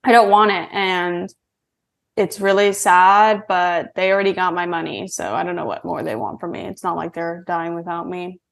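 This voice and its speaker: A woman's voice: lowish voice, moderate pace, vocal fry. A woman hosting a podcast in casual comedic banter, slangy and sweary, often poking fun at herself. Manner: slangy, sweary, self-mocking. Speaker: podcast host.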